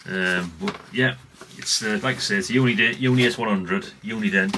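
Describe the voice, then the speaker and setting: Low-voiced man, noisy recording. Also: normal speed. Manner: rambling, chatty, with asides. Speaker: vlogger showing vintage CB radios. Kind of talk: casual, unscripted chat.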